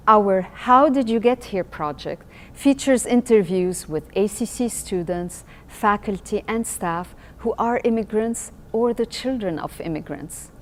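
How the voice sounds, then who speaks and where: Woman host presenting a segment. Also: medium pitch. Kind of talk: scripted presentation.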